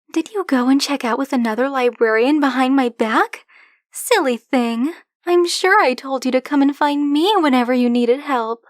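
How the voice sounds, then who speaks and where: A woman's voice: a little high, no echo, studio quality, lightly acted. A woman playing a flirty, possessive dragon-blooded librarian in an ASMR roleplay, speaking to the listener in a teasing, coy, insistent manner. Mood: playfully possessive, teasing. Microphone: close, dry microphone.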